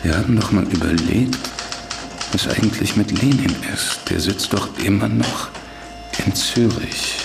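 A calculating political operator in a radio play. His low voice is gravelly and deliberate as he dictates dryly. Loud typing clatters over him. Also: noisy background. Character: cool, calculating male political schemer dictating a letter. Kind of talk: German radio drama acting.

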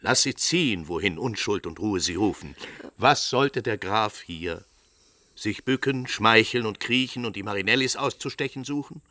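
A man's voice: on the low side, lively intonation, normal speed, pushed and bright, no room sound. An actor playing a stern, proud, hot-tempered middle-aged father, declaiming vehemently in classic radio drama style. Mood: stern, admonishing. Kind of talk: 1950s German radio drama acting.

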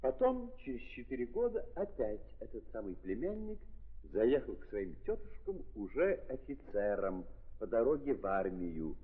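A man narrating as a grave, ironic storyteller in a theatrical 1930s stage style, with emphatic dramatic pauses. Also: mid-range voice, very animated, lightly acted. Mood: wistful, intense. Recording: muffled 1930s recording, long reverb.

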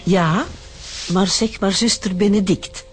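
An actress playing a very old, sharp and candid Flemish nun in a radio drama. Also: female voice, medium pitch, fairly even intonation, slow, soft background music, close to an everyday voice. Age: older.

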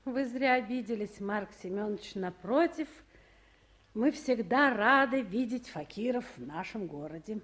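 Unhurried, high-voiced town official. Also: female voice, expressive, fairly bright tone. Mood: placating. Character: busy, practical, good-natured female town council official. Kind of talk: radio play acting.

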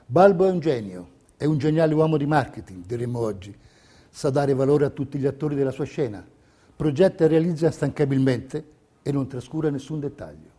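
A man delivering scripted theatrical narration in measured, deliberate phrases. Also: mid-range voice, lively intonation, dark tone. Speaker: stage narrator.

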